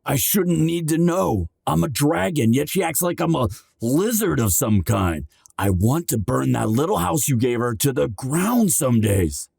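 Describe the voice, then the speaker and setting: An actor playing a loyal but hot-tempered dragon captain who sounds gruff and exasperated. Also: male voice, mid-range voice, big pitch swings, a little slow, a little mellow, no room sound, clean studio sound, exaggerated voice. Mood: annoyed. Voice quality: gravelly. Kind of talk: audio roleplay acting.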